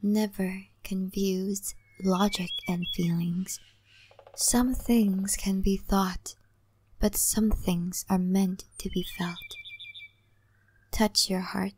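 A woman playing a calm, wise, mysterious guide of a spirit forest in an ASMR roleplay, speaking gently and softly, often in a breathy whisper, with pauses. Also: mid-range voice, slight background noise, natural voice. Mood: tender, probing. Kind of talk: soft-spoken, half-whispered ASMR roleplay.

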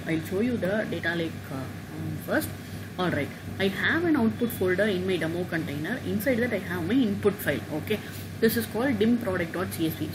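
A woman teaching a software tutorial over a screen recording, talking haltingly with many "uh" and "okay" fillers. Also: medium pitch, noisy background. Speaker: tutorial instructor.

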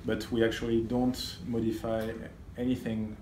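A man's voice: mid-range voice, little pitch movement, moderate pace, mellow. A man in his thirties informally explaining a product as a company representative. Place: indoor listening room with loudspeakers. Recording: echoey room with clear background noise.

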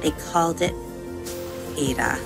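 Woman narrator for a kids' bedtime story: low voice, expressive reading that slows on key words, music bed underneath. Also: gravelly. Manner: expressive, slowing and softening on vivid words. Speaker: storybook narrator and episode host. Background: soft music under the reading.

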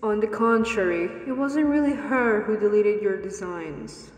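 A woman's voice: mid-range voice, natural voice. A woman playing a composed, formal and impartial afterlife court examiner in a radio drama. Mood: calm, firm. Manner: formal, measured, authoritative. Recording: echoey reverberant hall.

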